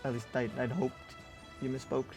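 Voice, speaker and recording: A man's voice: mid-range voice, flat delivery, moderate pace, natural voice. Easygoing, agreeable townsman played by a man. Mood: reassuring. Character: agreeable, easygoing friend of a demon slayer. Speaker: roleplay player. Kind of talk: casual improvised Minecraft roleplay dialogue. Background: background music.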